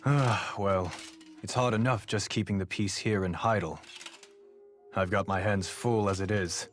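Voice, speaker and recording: An actor playing a dutiful, burdened town officer in a fantasy video game. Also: male voice, fairly low pitch, fairly even intonation, unhurried, faint music behind, close to an everyday voice. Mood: weary, businesslike. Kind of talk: game dialogue acting.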